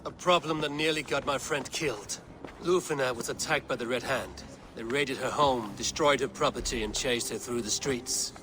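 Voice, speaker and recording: An actor playing a resolute, grim and forthright Viking warrior in a dramatic video game. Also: male voice, medium pitch, normal speed, background noise, lightly acted. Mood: firm, persuasive.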